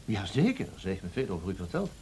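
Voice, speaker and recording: An actor playing a courteous, genteel gentleman tenant in a radio drama, speaking politely and formally on an old recording. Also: sits low, sing-song, fast, noisy background. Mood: polite, affable.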